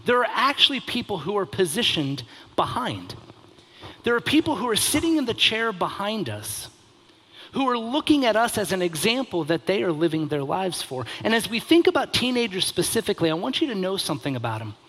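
A man's voice: high voice, sing-song, fairly quick, a bit bright, husky, rough recording. A man in his thirties giving a conversational sermon as a pastor, earnest, with rhetorical questions and emphatic repetition.

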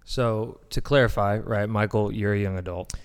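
A man in his late twenties speaks casually as a co-host, with a clean, smooth voice on the low side. The recording has a long, echoey tail around him. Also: steady tone, moderate pace. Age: late 20s. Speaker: podcast co-host asking questions. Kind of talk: casual conversation.

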